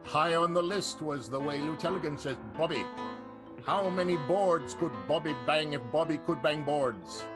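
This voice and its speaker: A man's voice: on the high side, moderate pace, over music. A man hosting an improvised radio soap, narrating wryly between scenes. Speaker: host and narrator.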